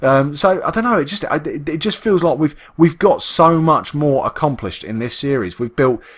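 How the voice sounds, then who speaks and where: A man's voice: on the high side, animated, moderate pace, fairly bright tone. A man with an East London accent, chatting casually and rambling with lots of restarts while he plays a game. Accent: East London English. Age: adult, works full time. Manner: rambling, chatty, with frequent restarts and self-interruptions. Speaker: Minecraft YouTuber. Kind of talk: casual gameplay commentary.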